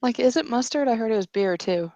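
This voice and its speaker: A woman narrating an improvised tabletop roleplaying story as game master, in a hesitant, descriptive way with pauses. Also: a little high, animated, warm tone, no echo, lo-fi sound.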